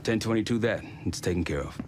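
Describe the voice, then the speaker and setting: An actor playing a gutsy, wry covert operative in a video game, speaking casually and confidently. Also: male voice, lowish voice, expressive, quick pace, background noise, natural voice. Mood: cool, wry. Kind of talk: cinematic video game acting.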